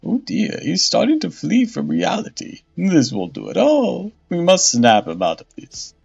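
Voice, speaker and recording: A man voicing an excitable male servant who fawns over his mistress. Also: high-pitched, unhurried, vocal fry, husky, voice pushed up, full character voice. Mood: fawning. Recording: dull lo-fi audio with faint music.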